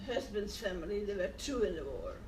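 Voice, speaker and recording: An elderly woman, answering questions in short, plain, halting phrases. She sits some way from the mic in an echoey room with faint ambient noise behind her. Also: mid-range voice, very animated, darkish tone, far from the mic. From Netherlands. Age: 80s. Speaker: interviewee. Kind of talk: oral history interview.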